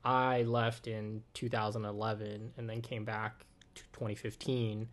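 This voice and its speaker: A man's voice: fairly low pitch, flat delivery, unhurried. A man in his twenties with a Californian American accent, chatting casually in a rambling way, saying "like" often and swearing now and then. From Oakland, California, USA. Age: 20s. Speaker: podcast guest. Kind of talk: casual conversation.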